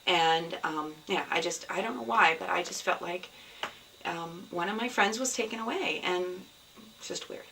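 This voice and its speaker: A woman with a scratchy, hoarse voice from a cold, hosting a casual video podcast at home, rambling with many ums. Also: lowish voice, normal speed. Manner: rambling, many ums, soft and sad in places. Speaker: podcast host. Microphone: camera. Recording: somewhat distant mic, faint background noise.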